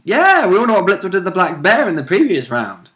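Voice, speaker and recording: A man's voice: sits high, wildly swooping pitch, fast, a bit bright. A man in a casual chat about a tabletop game, commenting wryly as a player and co-host.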